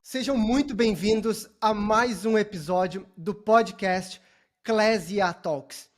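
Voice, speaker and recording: A Brazilian man speaks as the host of an interview podcast. He introduces topics and puts questions to his guest in polite, somewhat formal language. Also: sits high. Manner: courteous, formal phrasing.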